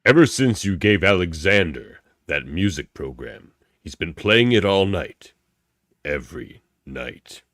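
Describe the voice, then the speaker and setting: A man playing a gruff, disgusted alien warrior officer in a radio play, halting emphatically word by word. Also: low-pitched, very animated, fairly bright tone, no room sound, clean studio sound, full character voice.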